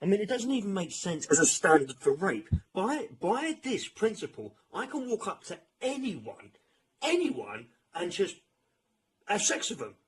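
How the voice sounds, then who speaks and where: A British man delivers a mocking commentary in a somewhat high, rough-edged voice. His manner is sarcastic and exasperated, with informal phrasing throughout the rant. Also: wildly swooping pitch, a little slow, rough recording. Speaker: online commentator.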